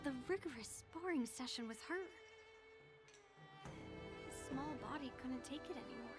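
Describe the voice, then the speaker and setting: Woman playing smug young girl, high pitch, boastful, teasing, game dialogue, background music. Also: normal speed, lightly acted. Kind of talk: video game voice acting.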